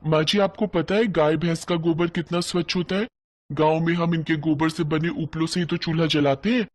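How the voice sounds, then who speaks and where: A man's voice: fairly high pitch, fairly even intonation, brisk, voice pushed up, lightly acted. A man voicing a sweet, dutiful young village bride, speaking politely and earnestly in a bedtime story.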